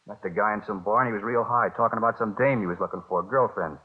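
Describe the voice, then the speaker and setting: An actor playing a defensive, streetwise petty criminal with clipped, slangy American tough talk in a 1950s radio drama. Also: male voice, fairly low pitch, quick pace, breathy edge. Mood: agitated, blaming. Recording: muffled vintage radio recording.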